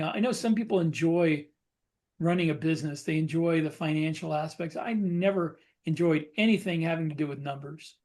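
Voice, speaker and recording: A man's voice: on the high side, a little mellow. A middle-aged American man in casual conversation as a podcast co-host, plain-spoken, often restarting his sentences. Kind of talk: casual chat.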